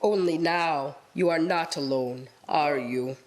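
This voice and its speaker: An actor playing a solemn, knowing spirit guide, speaking in a measured, probing way in a radio-play style reading. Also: medium pitch, natural voice, poor audio.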